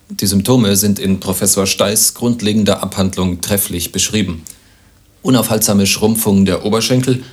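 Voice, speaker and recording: Low-voiced pompous, deadpan doctor played by a man. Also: natural voice. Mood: pedantic. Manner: pedantic, deadpan, Latin-laced pronouncements. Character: pompous, matter-of-fact male doctor, absurdly learned. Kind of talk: satirical radio play acting.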